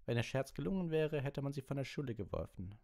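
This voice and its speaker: A man's voice: medium pitch, animated, quick pace, gravelly, a little mellow, pitched above the performer's own voice. A man voicing a sneering, sarcastic, menacing male school professor in an audiobook. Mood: sneering, bitter. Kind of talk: audiobook character reading.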